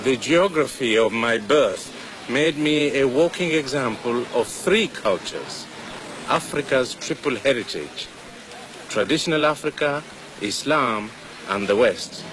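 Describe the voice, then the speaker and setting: An older man from Kenya with a Kenyan accent, a native Swahili speaker, giving measured, reflective documentary narration as presenter. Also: mid-range voice. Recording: steady background noise.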